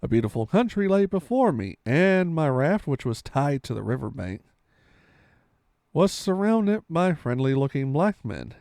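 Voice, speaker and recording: A man's voice: a little high, sing-song, measured pace, a little mellow, no room sound, clean studio sound. A man reading a storybook aloud with dramatic, theatrical delivery, sometimes stumbling and correcting himself.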